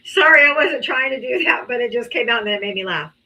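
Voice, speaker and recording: A woman's voice: on the high side, expressive, fast, vocal fry, bright, forceful tone, rough recording. A woman hosting a live political debate stream, blunt and scolding, swearing freely at callers.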